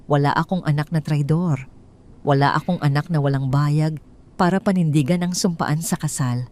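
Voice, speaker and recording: A woman's voice: sits low, normal speed, a little mellow, lightly acted. A woman voicing a frail old father whose voice trembles with pain as he disowns his son. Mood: bitter.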